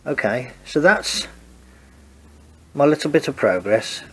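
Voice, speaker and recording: A man's voice: medium pitch, expressive, slow pace, slightly rough. Man with a stuffy cold in garage. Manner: hesitant, thinking aloud while working. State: congested from a cold. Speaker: home car restorer. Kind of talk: casual workshop walkthrough. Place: home garage workshop.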